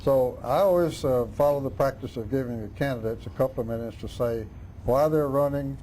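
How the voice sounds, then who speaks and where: A middle-aged man hosting a local candidate forum, talking haltingly with many "uh" fillers. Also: mid-range voice, mellow, a little hiss or hum, rough recording. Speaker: talk show host.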